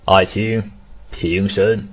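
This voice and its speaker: A man's voice: sits low, fairly even intonation, extremely slow, full character voice. A man voicing a stern, weary middle-aged emperor with an imperious manner in a Chinese costume radio drama. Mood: weary authority. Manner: solemn, imperious. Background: background music.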